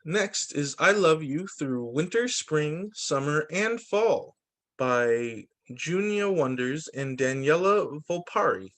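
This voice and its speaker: A man teacher reading picture books aloud to young children, with deliberate, sing-song phrasing. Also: fairly high pitch, expressive, fairly bright tone.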